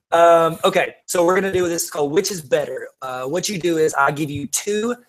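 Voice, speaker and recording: A man's voice: on the high side, moderate pace, low-quality recording. A man co-hosting a podcast, chatting casually and jokingly with friends. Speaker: podcast co-host running a game segment.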